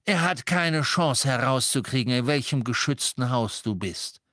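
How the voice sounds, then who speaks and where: A man doing a calm, reassuring father. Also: medium pitch, normal speed, no echo, clean studio sound, voice pushed up. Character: calm kindly middle-aged father.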